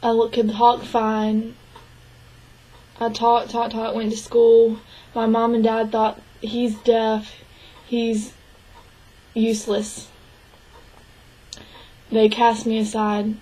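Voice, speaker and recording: A woman gives a spoken interpretation of a signed interview. Her voice sits a little high and stays flat and level. She talks in an even, measured way and often breaks off and restarts. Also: rough recording. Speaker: sign language interpreter voicing a deaf man's answers.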